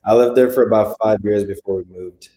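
A young man from Tanzania answering interview questions in a modest, low-key way. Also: fairly even intonation, fairly quick, dark tone. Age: young adult. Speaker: interview guest, student athlete.

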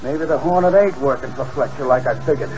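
A man's voice: mid-range voice, a little fast, close to an everyday voice. A man playing a slangy tough-guy gangster. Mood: scheming. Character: tough streetwise male gangster henchman, boastful. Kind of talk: 1940s radio acting. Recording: hissy old radio broadcast.

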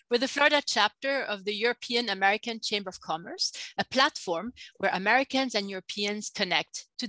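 Woman reading a formal intro, bright crisp voice, dry room. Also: mid-range voice, no echo. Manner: formal, read from a script. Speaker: chamber of commerce director. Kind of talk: scripted introduction.

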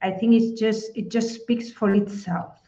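A woman's voice: on the high side, steady tone, measured pace, dark tone. A woman with a Spanish accent speaking as a panelist in an online discussion, thoughtful, with many small restarts. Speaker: webinar panelist. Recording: echoey dull webinar audio.

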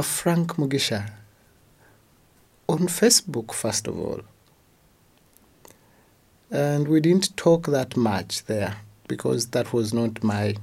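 A man from Uganda, speaking about his life in an animated, emphatic way and repeating key phrases. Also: mid-range voice, vocal fry, slightly rough. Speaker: interviewee. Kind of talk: personal testimony.

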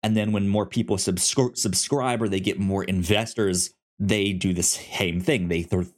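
Male podcast co-host, conversational, halting delivery with frequent repeats and restarts. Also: low voice, fairly even intonation. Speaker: podcast co-host reviewing movies. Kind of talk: casual film discussion.

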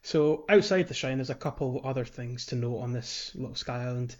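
A man's voice: mid-range voice, brisk, highs cut off. A man hosting a podcast, explaining things step by step in casual gaming chat. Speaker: podcast host. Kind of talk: casual game walkthrough chat.

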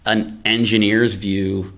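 Male podcast host, slightly deep voice. Conversational explainer who reasons out loud and asks rhetorical questions. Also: flat delivery, slow. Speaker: podcast host, venture investor. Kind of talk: casual conversational tech discussion.

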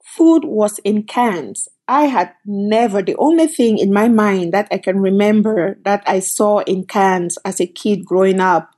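A middle-aged woman from Cameroon, a company founder telling her story as a guest in an interview, lively and quick in bursts. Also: medium pitch. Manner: animated, storytelling, quick bursts, laughs often. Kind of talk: conversational interview.

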